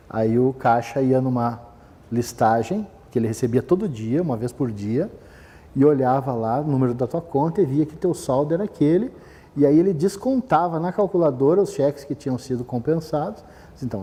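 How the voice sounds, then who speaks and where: Man, middle-aged, very warm dark tone, hesitant restarts, long hall reverb. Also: mid-range voice. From southern Brazil. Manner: rambling, many fillers and restarts. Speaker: business manager giving a talk. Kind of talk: conversational talk, personal history.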